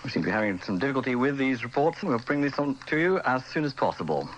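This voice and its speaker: British male newsreader, lively intonation. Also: medium pitch, quick pace, highs cut off. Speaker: radio newsreader. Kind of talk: formal news reading. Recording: faintly noisy old radio broadcast.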